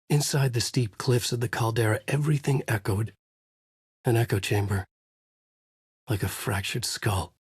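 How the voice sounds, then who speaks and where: An actor playing a brooding, haunted male writer, narrating his dark story in a measured, dramatic, intense way with a husky voice. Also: mid-range voice, no echo, clean studio sound, natural voice. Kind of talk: scripted first-person noir narration.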